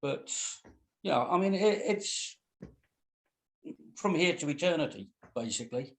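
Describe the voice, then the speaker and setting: A man's voice: on the high side, animated, a little slow. A man on a reading panel, giving casual feedback in a mild, hesitant, meandering way. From Britain.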